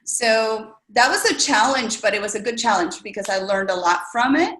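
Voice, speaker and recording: A middle-aged woman whose first language is Spanish, being interviewed and telling her life story in a casual, earnest, reflective way with many pauses. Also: medium pitch, fairly bright tone. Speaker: interview guest. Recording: roomy echo.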